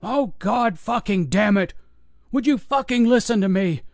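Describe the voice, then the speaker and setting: A frantic old security guard, put on by a man, yelling and cursing at a raised, strained pitch. His voice jumps high and sounds stretched thin with fear. It is recorded dry and close. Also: animated, unhurried, gravelly, no room sound, full character voice. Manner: frantic, shouting, swearing.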